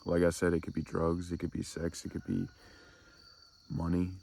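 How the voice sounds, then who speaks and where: Congested nasal guy, real deep gravelly voice, slow ramble for spiritual asmr, crickets in the back. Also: completely flat delivery, warm tone. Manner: slow, meandering reflective musing. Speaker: ASMR spiritual channel host. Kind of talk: soft-spoken ASMR spiritual talk.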